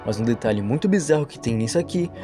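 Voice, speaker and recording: A man's voice: medium pitch, animated, fast talker, music in the background. A man with a Brazilian accent narrating an explanatory storytelling video essay as a voice-over.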